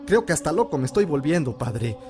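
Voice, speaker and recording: An actor playing a humble, confused, grieving husband from a village in an audio drama. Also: male voice, on the high side, sing-song, a little fast, over music. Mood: troubled. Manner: humble, deferential, troubled.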